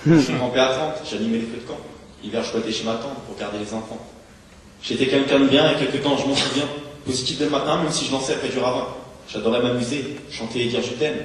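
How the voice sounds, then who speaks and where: A man performs slam poetry in a big reverberant hall, heard from some distance. He delivers rhymes very quickly and rhythmically, declaiming as an embittered, washed-up homeless drinker. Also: mid-range voice, fairly even intonation. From France. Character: embittered, washed-up homeless street drinker remembering his youth. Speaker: slam poet. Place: large theatre hall, live on stage. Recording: echoey hall, distant mic, faint noise.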